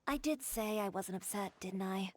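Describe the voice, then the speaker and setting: A woman's voice: medium pitch, normal speed, darkish tone, a little hiss or hum, lightly acted. A woman voicing a poised, confident young woman fashion designer in a video game, with a composed, dry, self-assured delivery.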